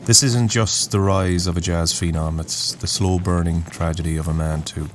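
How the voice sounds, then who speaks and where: A man narrating a scripted dramatic story in a solemn, poetic, deliberate way. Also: sits low, fairly even intonation, a little hiss or hum. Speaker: narrator, host of a story series. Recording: music bed under the voice. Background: music.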